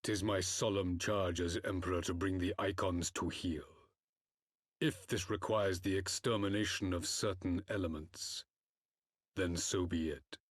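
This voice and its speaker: Cold, solemn game emperor: very low husky voice, formal and unhurried delivery. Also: male voice, fairly even intonation, no echo, clean studio sound. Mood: grave resolve. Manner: formal, measured, archaic grand diction. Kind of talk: scripted fantasy game cutscene acting.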